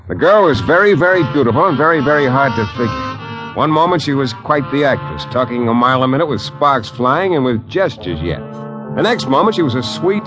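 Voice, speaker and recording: A man's voice: medium pitch, close to an everyday voice. A man voices a hard-boiled private eye narrating with dry wit and a voice that swings up and down. The tone is wry and knowing, in the manner of a 1940s radio play with music behind it.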